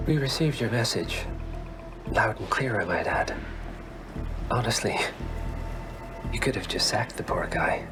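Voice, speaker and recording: An actor playing a smooth, menacing male emissary of a shadowy organisation in a video game, insinuating and condescending, often half-whispering. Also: fairly low pitch, expressive, measured pace, long pauses, music in the background. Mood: dry mockery. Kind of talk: video game cinematic dialogue.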